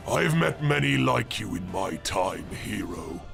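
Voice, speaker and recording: Hulking stone golem, low grating rumble, deliberate taunting delivery, audiobook fantasy, music underneath. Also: male voice, big pitch swings, vocal fry, fairly bright tone, exaggerated voice. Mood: taunting, menacing. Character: ancient hulking stone golem, dry, menacing, unimpressed.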